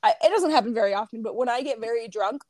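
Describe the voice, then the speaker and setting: Teasing high-voiced young woman. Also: fast, a little mellow, dry recording, studio quality. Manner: teasing, loose, swears casually. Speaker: podcast co-host. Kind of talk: casual chat.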